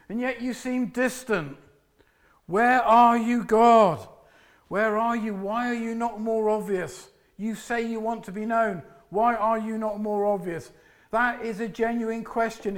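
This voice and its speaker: A man preaching a sermon with a loud, booming voice, pacing about and sometimes dropping to a soft prayerful tone. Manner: drops to soft hushed prayer voice.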